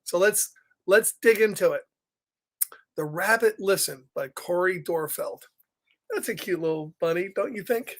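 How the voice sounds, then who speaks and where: A man's voice: high voice, measured pace. A man hosting a live picture-book reading for children, chatty and exclamatory, often breaking off to ask listeners questions. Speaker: children's story reader and host. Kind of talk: live picture-book read-aloud with casual asides.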